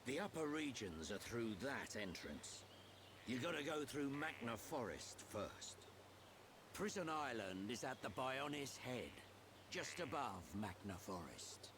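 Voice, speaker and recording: Gruff mentor, lively delivery. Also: male voice, mid-range voice, measured pace, background noise. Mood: brisk. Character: gruff older male mentor, seasoned fighter, easygoing guardian. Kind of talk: video game cutscene acting. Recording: game music behind the voice.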